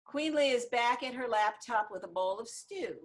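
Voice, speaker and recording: A woman's voice: on the high side, animated, moderate pace. A woman reading screenplay stage directions as scripted narration over a video call.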